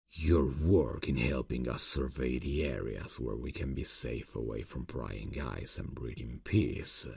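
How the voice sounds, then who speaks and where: Ancient male vampire boss, heavy vocal fry, breathy husk, courtly, silky menace, fantasy ASMR roleplay. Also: a little mellow, no room sound, studio quality, heavily acted. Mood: solemn, confiding. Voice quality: very deep, gravelly, breathy. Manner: slow, formal, silky, deliberate. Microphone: close microphone, dry sound.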